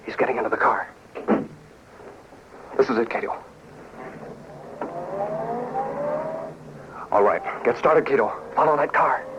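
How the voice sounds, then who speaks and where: An actor playing a steady, decisive crime-fighting hero in a 1940s radio drama, measured and authoritative. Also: male voice, mid-range voice, natural voice. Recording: noisy muffled 1940s radio recording.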